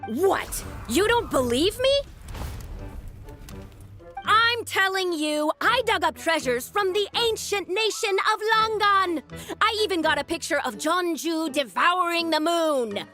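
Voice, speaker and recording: Game braggart: high, animated young woman, declamatory, pompous, quick to take offence. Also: soft background music, full character voice. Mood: defensive. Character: boastful, hot-tempered young woman, self-proclaimed famous archaeologist. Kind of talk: video game cutscene acting.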